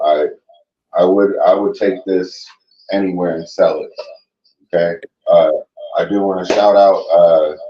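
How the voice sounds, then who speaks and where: Deep-voiced laid-back guest man. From Cleveland, Ohio. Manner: laid-back, gives shout-outs. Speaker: guest cook and wrestler. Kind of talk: casual podcast chat. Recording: dull, roomy, distant mic.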